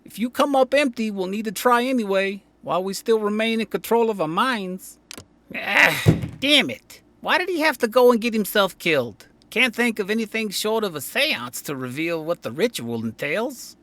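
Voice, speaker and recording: A cynical city mayor under pressure, acted by a woman for an audio drama. The voice is mid-pitched and swings widely, curt, weary and short-tempered. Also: lively intonation, moderate pace, fairly bright tone, no echo, natural voice. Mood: demanding, then exasperated, weary.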